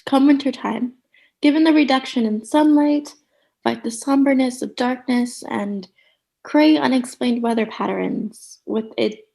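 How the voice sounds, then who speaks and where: A woman's voice: on the high side, a little slow, gravelly, warm tone. A woman playing a relentlessly cheery, bossy sitcom character in a comedic radio play, theatrical, gushing and condescending. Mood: rapturous.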